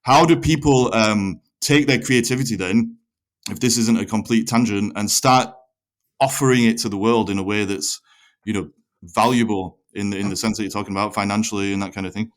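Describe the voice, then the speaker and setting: A man's voice: on the low side, fairly even intonation, brisk. A man hosting a conversational interview podcast, explaining his ideas at length in a reflective, self-correcting way. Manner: long-winded, self-correcting. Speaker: podcast host.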